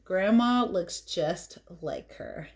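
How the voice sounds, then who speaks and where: A woman's voice: lowish voice, animated, takes it slowly, a little mellow. A woman teacher reading a picture book aloud to children, telling it expressively and adding playful, chatty asides about the pictures. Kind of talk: animated read-aloud storytelling with casual asides.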